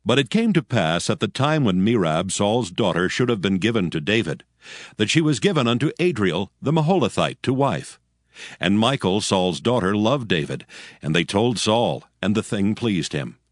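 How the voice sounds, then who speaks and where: A man narrating a dramatized scripture audio book from a script in a solemn, measured storytelling way. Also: on the low side, very animated, dry recording, clean studio sound.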